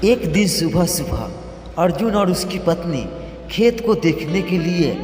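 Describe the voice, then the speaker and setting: A male storyteller with a high voice narrates a moral tale at an unhurried pace. The delivery swings up and down in a lively way. Music plays prominently behind him. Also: roomy sound, long reverb tail. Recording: loud background music.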